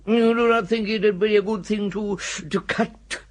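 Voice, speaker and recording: A man's voice: high-pitched, steady tone, moderate pace, lightly acted. An actor playing a genial, pompous, long-winded elderly country clergyman with an upper-class English accent, hesitant and ornate, in a radio comedy. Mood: flattered, genial. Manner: ponderous, ornate, hesitant with ums. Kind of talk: radio comedy drama acting.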